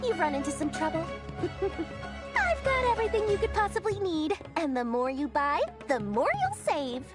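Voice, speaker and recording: An actress playing a greedy, self-important traveling merchant in a video game, with pompous sales patter and dramatic delivery. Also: female voice, very high-pitched, expressive, moderate pace, over loud music. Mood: salesy, smug. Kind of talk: animated video game cutscene voice acting.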